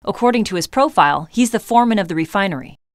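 An actress playing a composed intelligence officer in a video game, giving matter-of-fact briefings. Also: female voice, mid-range voice, lively intonation, fast talker, natural voice. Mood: businesslike. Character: composed female covert-agency intelligence officer. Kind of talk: video game cutscene acting.

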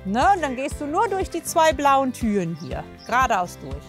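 A woman's voice: high-pitched, sing-song, moderate pace, over music. A woman talking casually and informally as a chatty host, chatting to the camera and with clients. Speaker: horse physiotherapist, host.

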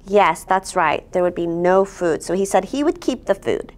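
A woman in her forties reading a picture book aloud to children as host, expressive and posing simple questions. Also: lowish voice, moderate pace. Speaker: storyteller, host. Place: indoor studio set at a table. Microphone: lapel.

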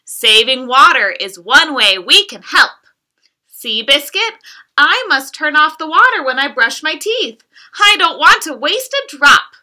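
Lady reading as a sweet little girl, bright lively voice, slow sing-song storybook lines. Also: high-pitched, full character voice. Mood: cheerful. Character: cheerful little girl, caring and eager.

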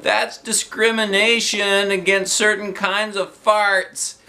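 Guy putting on an excited schoolkid voice for a story, loud and high, kinda indignant. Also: slow, slight background noise, heavily acted. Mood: indignant, eager. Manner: loud, exclaiming, high-pitched. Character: excitable, indignant schoolchildren calling out, boys and girls.

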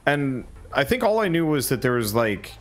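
A man's voice: mid-range voice, sing-song, normal speed. A man chatting casually during a co-op game, asking curious questions. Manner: curious, asks easygoing questions. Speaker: co-op gaming partner. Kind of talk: casual co-op gameplay chat.

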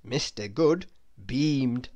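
A woman narrating a children's storybook aloud in an expressive, deliberate storytelling manner. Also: very deep voice.

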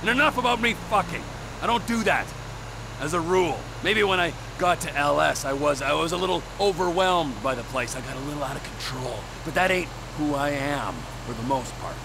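A man's voice: on the high side, a little fast, vocal fry. An actor playing a volatile, menacing middle-aged criminal trucker in a video game, sardonic and profane, drawing out his words. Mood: defensive. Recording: vehicle noise behind the voice.

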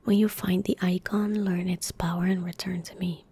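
A woman in her twenties speaking in a breathy, gentle whisper close to the mic, giving unhurried ASMR commentary as she plays a game. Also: on the low side, flat delivery. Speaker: ASMR gaming streamer. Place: indoor room at a desk. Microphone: close desk microphone.

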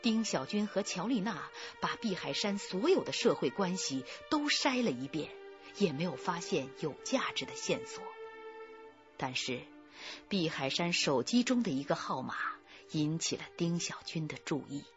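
A woman's voice: fairly low pitch, sing-song, normal speed, over music. A woman reading serious, suspenseful scripted narration for a crime radio drama. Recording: slightly dull lo-fi audio.

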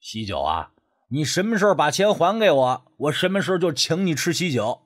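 Man acting a blunt, husky sceptical young man. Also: fairly high pitch, very animated, a little fast. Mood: dismissive. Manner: blunt, sarcastic. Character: sceptical, impatient young man mocking his friend.

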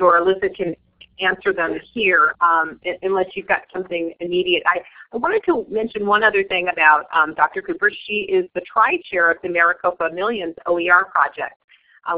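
A woman moderating a webinar, introducing speakers and passing on questions, with frequent ums. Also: on the low side. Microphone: phone or web call. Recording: tinny phone-line audio.

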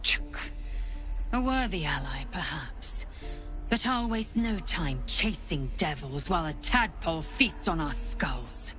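A woman's voice: low voice, expressive, slow pace, sharp tone, soft background music, exaggerated voice. A woman playing a haughty, impatient alien warrior in a fantasy game, with clipped, imperious speech. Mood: scornful, impatient.

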